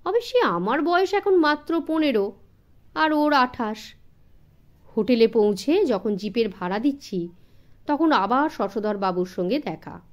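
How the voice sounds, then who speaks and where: A woman's voice: a little high, expressive, a little slow. A woman narrating a story expressively for children as an audiobook reader.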